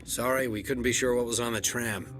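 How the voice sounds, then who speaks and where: Man playing a blunt, forceful game security captain. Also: medium pitch, fairly even intonation, a little fast, close to an everyday voice. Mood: wary, sardonic. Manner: blunt, folksy, colloquial. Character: gruff, weary male security captain, blunt and sardonic. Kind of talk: video game cutscene acting.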